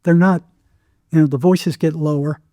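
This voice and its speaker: A man's voice: a little high, steady tone, moderate pace, warm tone, dry recording, studio quality. A man speaking as an interview guest, telling anecdotes and explaining with emphatic, repeated phrasing. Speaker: psychotherapist, podcast guest.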